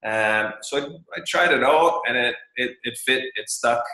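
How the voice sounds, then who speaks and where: A man's voice: medium pitch, normal speed, pushed and bright. A young Canadian man talking casually as an interview guest, thoughtful and rambling, with many fillers and restarts. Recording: roomy echo.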